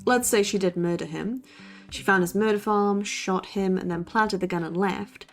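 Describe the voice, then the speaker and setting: Female actor as a young college podcaster, skeptical, dry and sardonic, medium pitch, analytical banter, faint music behind. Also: steady tone, normal speed, natural voice. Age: young adult. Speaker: podcast co-host. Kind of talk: scripted banter in a horror audio drama.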